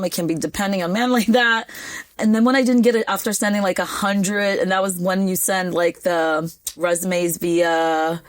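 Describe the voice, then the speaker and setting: Podcast guest: animated American woman in her forties, dry close sound, chatty and laughing. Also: mid-range voice, no echo. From United States (New Jersey). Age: 40s. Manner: animated, chatty, laughs often, quick asides. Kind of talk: casual interview storytelling.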